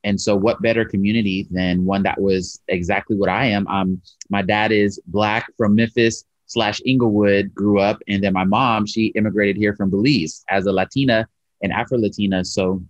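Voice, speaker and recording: A man's voice: lowish voice, normal speed, dry recording. Earnest man on a muffled video call. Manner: earnest, animated, gestures while talking. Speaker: coffee shop owner, guest. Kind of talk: interview over video call. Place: his coffee shop, indoors. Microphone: video call webcam.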